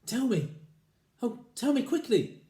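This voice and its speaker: A man voicing a nasty old wife who is frightened, crying out in a shrill, panicky way. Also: huge pitch swings, moderate pace, darkish tone, distant mic. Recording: echoey room.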